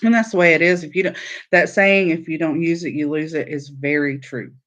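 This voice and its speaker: A man's voice: on the high side, steady tone, fairly quick, fairly bright tone. A man from the South, casually chatting with viewers and reading their comments aloud while hosting a crochet live stream. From born in Texas, raised in Alabama. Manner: chatty and easygoing, laughs easily. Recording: slightly muffled, dull stream audio.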